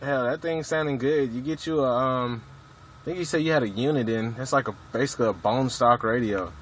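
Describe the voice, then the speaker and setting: A man's voice: medium pitch, a little fast. Laid-back man chatting on CB, background noise. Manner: laid-back slangy talk with swearing and CB jargon. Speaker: CB radio hobbyist filming his radio chat. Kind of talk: casual two-way radio chat. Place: inside a vehicle at a truck stop. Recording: noisy mobile recording.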